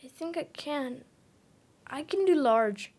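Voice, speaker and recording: A woman's voice: high-pitched, animated, slow, a little mellow. An actress playing a small, innocent young boy in an audio drama, hesitant, childlike and trusting. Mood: earnest, hesitant.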